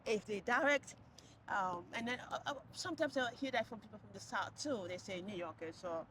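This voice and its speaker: A woman's voice: mid-range voice, fairly quick, a bit bright, slight background noise. A woman, speaking in a casual street interview with many restarts and fillers, thinking aloud as she talks. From Cameroon. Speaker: interviewee, passerby. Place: outdoors on a city street.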